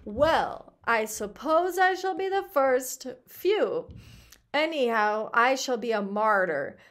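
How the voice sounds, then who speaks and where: A woman acts out a bitter anarchist on the run in a storybook tale. The delivery is dramatic and full of self-importance, with fear and exaltation mixed together, pitched on the high side for the reader. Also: lively intonation, takes it slowly, a bit bright. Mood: grim resignation. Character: frightened, exalted, bitter male anarchist fugitive.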